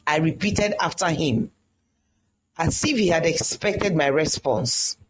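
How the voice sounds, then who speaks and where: A storyteller reading a scripted first-person tale in a dramatic, deliberate way. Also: medium pitch, noisy background.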